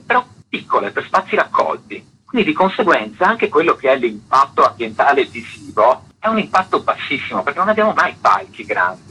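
A man gives a detailed, articulate explanation as a radio interview guest. His voice is strikingly raspy, with lively rises and falls in pitch and a bright, forceful edge. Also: rough recording. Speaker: festival artistic director, interview guest.

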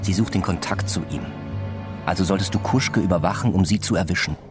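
An actor playing a coaxing, matter-of-fact police officer in a German radio drama. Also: male voice, sits low, fairly quick, over music, close to an everyday voice. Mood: matter-of-fact persuasion. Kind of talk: German radio play acting.

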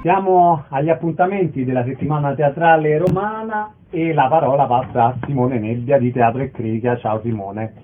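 A man's voice: medium pitch, normal speed, hardly any pauses, faint music behind. A man hosting a radio show, interviewing and announcing over narrow, phone-like audio. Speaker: radio host.

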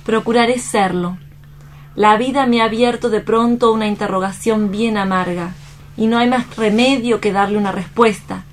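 A woman's voice: medium pitch, steady tone, moderate pace, a little hiss or hum, lightly acted. An actress playing a distraught but resolute young woman in a radio drama, speaking earnestly and solemnly. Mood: grave, reproachful. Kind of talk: dramatic staged radio reading.

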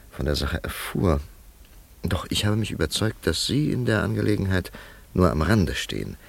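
A man's voice: very deep voice, creaky, breathy edge, exaggerated voice. An actor playing an earnest, skeptical, rational man in a radio play, formal and probing. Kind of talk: German radio play acting.

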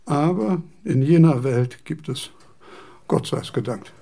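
An actor playing a frail, eccentric old philosopher in a radio play, pedantic and impatient, with halting, deliberate speech and a husky, creaky voice. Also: male voice, on the high side, lively intonation, mellow, exaggerated voice. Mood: impatient, querulous.

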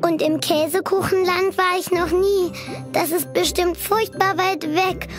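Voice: very high-pitched, fairly even intonation, unhurried. An actor voicing a small, clingy, naive teddy bear with childlike, earnest talk in a children's audio play. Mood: sweet, naive. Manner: childlike, earnest, plaintive talk with playful made-up words. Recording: music behind the voice.

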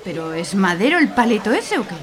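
A woman's voice: medium pitch, sing-song, fairly quick, crowd noise, natural voice. A woman playing a brassy, grumbling, teasing middle-aged cleaner with an Aragonese accent and salty colloquial talk in a radio comedy serial. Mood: brash, matey. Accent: Aragonese Spanish.

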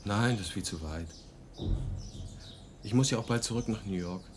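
An actor in a German radio play playing a polite, reserved, quietly uneasy writer in a measured conversational way. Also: male voice, lowish voice, lightly acted. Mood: uneasy, guarded.

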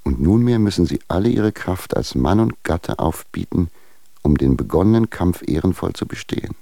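Admonishing patriarch, dark mellow timbre, rock-bottom pitch, measured formal radio drama reading. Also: male voice, animated, vocal fry, no room sound. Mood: stern admonishing. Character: stern, formal patriarch admonishing a younger husband. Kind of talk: German radio drama, literary letter reading.

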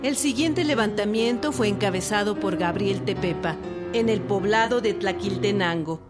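A woman's voice: mid-range voice, normal speed, over loud music. A woman with a Mexican accent narrating history from a script for radio.

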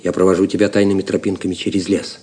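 A man's voice: deep voice, little pitch movement, quick pace, dark tone, breathy edge. A man playing a resolute, secretive young pilgrim in an audio drama, earnest and commanding in manner. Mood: urgent, warning.

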